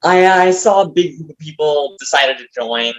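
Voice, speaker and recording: A woman playing an earnest, adoring male biographer in a staged comedy reading, halting and melodramatic. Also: low-pitched, lively intonation, rough recording. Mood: awkward. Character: rambling, adoring male biographer Mercutio, devoted friend.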